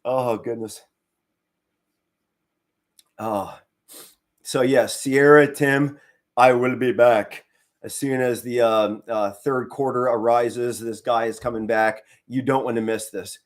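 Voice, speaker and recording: A middle-aged man from Pennsylvania commentates a game live for his stream, his voice hoarse and worn. He speaks low and restrained to spare his throat, then breaks into short excited bursts. His pitch sits in the middle of the male range. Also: slow, pauses often. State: hoarse, straining to save his voice. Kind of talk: casual live play-by-play commentary.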